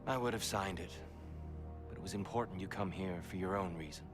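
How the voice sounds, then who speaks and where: Man acting calm war-god mentor, low voice, earnest, measured, cinematic game scene, music clearly underneath. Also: natural voice. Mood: knowing, reflective.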